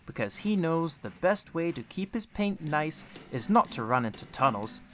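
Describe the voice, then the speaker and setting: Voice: medium pitch, very animated, normal speed, fairly bright tone, soft background music. A storyteller reading a children's audiobook in a scripted narration style.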